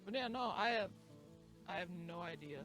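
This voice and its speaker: A man with a high voice roleplays a saloon keeper, chatting loosely and rambling with self-deprecating asides. Game music plays clearly behind him. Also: lively intonation, moderate pace, a bit bright. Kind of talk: in-character western roleplay chat.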